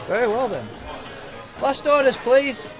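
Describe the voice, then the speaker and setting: A man's voice: extremely high pitch, lively intonation, measured pace, over music. A man playing a polite, hesitant, mildly worried pub barman in a radio play. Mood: polite, puzzled. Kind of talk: radio drama acting.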